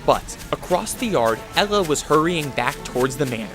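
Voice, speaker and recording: A man's voice: a little high, expressive, normal speed, over music. A man narrating a radio drama in a solemn, dramatic storyteller's style.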